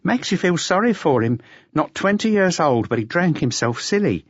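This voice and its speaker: A man voicing a brisk motherly waitress. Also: fairly high pitch, very animated, slightly rough, no room sound, muffled recording, pitched above the performer's own voice. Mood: brisk, chiding. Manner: brisk, fending off, chatty. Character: buxom motherly waitress, woman about thirty-five.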